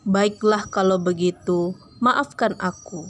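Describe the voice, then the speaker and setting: A woman's voice: mid-range voice, takes it slowly, slight background noise, natural voice. A hungry, lazy fable snake, acted out by a woman. The voice takes its time over each phrase in a storybook manner. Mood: apologetic. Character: hungry lazy snake, menacing then sorry.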